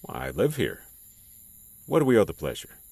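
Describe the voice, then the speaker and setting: A man's voice: sits low, huge pitch swings, moderate pace, gravelly, a little mellow, dry recording, full character voice. A man playing a smug former boss who needles and teases. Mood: sly. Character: smug middle-aged male former boss.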